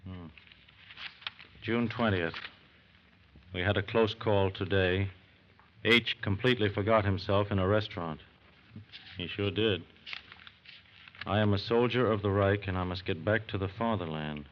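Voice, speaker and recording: A man acting a sober federal agent, low-voiced and clipped, with methodical authority. It is old-time radio drama, muffled with a faint layer of noise. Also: unhurried, pauses often, close to an everyday voice. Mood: grave. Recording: muffled, slightly noisy old radio recording.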